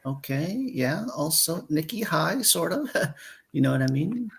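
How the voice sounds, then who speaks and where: A man's voice: on the high side, lively intonation, measured pace, gravelly. A man hosting a casual writing stream, talking hesitantly with many fillers and a playful tone. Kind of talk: casual chat.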